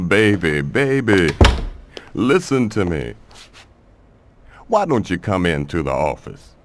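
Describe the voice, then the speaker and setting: A man's voice: deep voice, big pitch swings, takes it slowly, pauses often, a little hiss or hum, exaggerated voice. A man playing a sleazy, oily boss in a video game, wheedling with patronising pet names. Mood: sleazy, coaxing. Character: sleazy male boss, oily, coaxing a woman. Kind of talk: video game cutscene acting.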